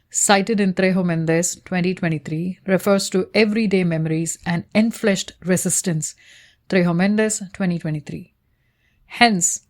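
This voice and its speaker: Lowish-voiced woman reading academic text. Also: a little mellow. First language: Tamil. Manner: measured, reads citations and page numbers aloud. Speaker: researcher explaining her thesis.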